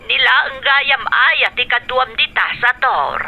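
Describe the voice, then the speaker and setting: A woman's voice: high voice, expressive, measured pace, gravelly, dull audio, faint music behind, full character voice. A woman playing a caring, fussing older sister in an Ilocano radio drama. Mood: concerned, fussing.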